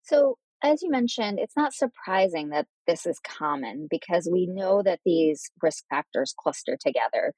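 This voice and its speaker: A woman's voice: lowish voice, expressive, moderate pace, hardly any pauses, a little mellow, dry recording, poor audio. A woman hosting a professional medical interview, summarizing points and asking questions in a formal, engaged way. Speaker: podcast host, physician interviewer.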